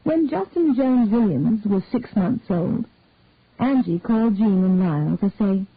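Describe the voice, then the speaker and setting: A woman's voice: mid-range voice, expressive, breathy edge, dry recording. Woman narrating a story, phone-thin sound. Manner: measured storytelling. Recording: narrow phone-like dry sound.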